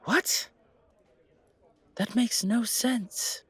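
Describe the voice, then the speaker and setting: A pompous vampire gentleman, acted by a man, speaks slowly in formal, fussy phrases. His husky voice carries disdain and a protective streak, as in an audio roleplay drama. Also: extremely high pitch, pitched above the performer's own voice, heavily acted. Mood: incredulous.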